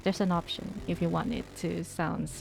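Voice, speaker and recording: Mellow-voiced woman streamer reading game text. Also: fairly low pitch, flat delivery, normal speed, creaky, slight background noise. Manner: casual, thinking aloud with fillers. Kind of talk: reading game text aloud and chatting with viewers.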